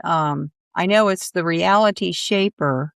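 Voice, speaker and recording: A woman's voice: on the low side, measured pace, gravelly, no echo, clean studio sound. A middle-aged woman hosting a podcast, chatting casually with her guest in an effusive, encouraging way. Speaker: podcast host. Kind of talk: casual interview chat.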